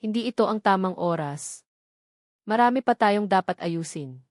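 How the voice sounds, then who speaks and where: A woman's voice: mid-range voice, animated, moderate pace, darkish tone, dry recording, studio quality, natural voice. A Filipino-accented woman voicing a conflicted, apologetic man in a romantic audio drama. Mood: hesitant, apologetic. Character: conflicted, apologetic man torn between two women.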